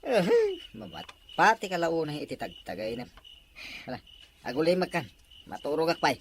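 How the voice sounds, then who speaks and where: A man's voice: on the high side, wildly swooping pitch, moderate pace. A man playing a sleepy husband in a radio drama, grumbling and brushing off worries. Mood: sleepy dismissal. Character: sleepy, dismissive husband soothing his worried wife.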